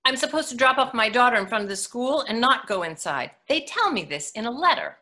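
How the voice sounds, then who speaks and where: A woman playing a wry, blunt, protective mother in a staged monologue, delivered dramatically with emphatic pauses. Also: a little high, big pitch swings, pushed and bright. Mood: indignant.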